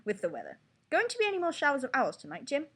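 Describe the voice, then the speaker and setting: A woman's voice: sits high, wildly swooping pitch, rapid-fire, fairly bright tone, voice pushed up, heavily acted. A woman voicing a formal, mildly amused male TV newscaster with an attempted British accent, reading a storybook bulletin. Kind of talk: mock news bulletin.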